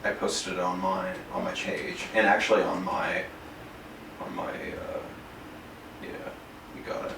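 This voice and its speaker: A man hosting a paintball live stream, talking casually and haltingly as he runs the setup. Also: low voice, fairly even intonation. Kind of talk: casual chat while running a stream. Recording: loud noise in an echoey room.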